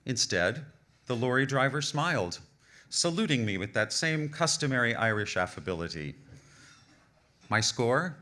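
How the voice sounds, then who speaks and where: A man with a bright, clear-edged voice at middling pitch reads a scripted story on stage. His delivery is literary and wry, with measured phrasing and deliberate emphasis on chosen words. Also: very animated. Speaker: author reading a personal essay at a live event. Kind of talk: scripted storytelling, humorous travel essay read aloud. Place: live reading on stage at an indoor venue.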